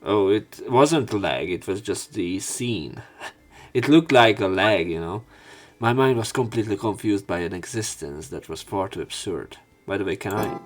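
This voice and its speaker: Male let's player, lowish voice, dramatic read-aloud of game text plus offhand remarks. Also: expressive, normal speed, faint music behind. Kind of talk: read-aloud game narration with casual commentary.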